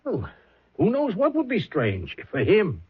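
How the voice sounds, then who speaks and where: Brash husky hustler boss, old radio. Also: male voice, sits high, huge pitch swings, measured pace, full character voice. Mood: defensive. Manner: gruff, slangy, blustering. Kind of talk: old-time radio drama acting. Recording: muffled vintage 1970s radio recording.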